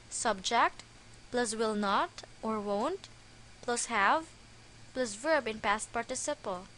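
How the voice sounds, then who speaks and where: A woman narrates a grammar lesson at a very unhurried pace. Her voice sits somewhat high and stays careful and deliberate throughout. A faint hiss runs under the recording. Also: a bit bright, low-quality recording. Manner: slow, careful, deliberate delivery. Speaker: English grammar teacher.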